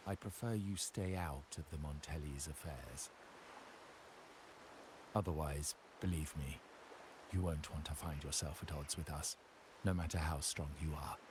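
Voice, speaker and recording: A proud, commanding male heir in a game drama. His voice sits very low and moves expressively through formal, measured phrases. A clear hiss lies behind him. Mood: menacing. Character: stern, proud male heir of a powerful crime family, an uncle. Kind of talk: dramatic video game dialogue.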